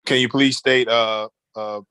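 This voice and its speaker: A man's voice: medium pitch, fairly even intonation, unhurried, sharp tone, smooth, dry recording. A man chairing a virtual board meeting, speaking in formal procedural phrases with a polite, somewhat hesitant delivery.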